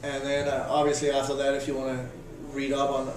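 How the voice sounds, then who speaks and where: A Danish-accented man in his thirties talks in an even, flat way, stopping often to restart his sentences. His voice sounds quite far from the mic, with strong echo in the room and a faint hiss behind it. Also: medium pitch. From Denmark. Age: 30s. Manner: halting, many restarts and fillers. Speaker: interviewee, volunteer volleyball coach. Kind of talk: casual interview answers. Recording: echoey room, voice from a distance.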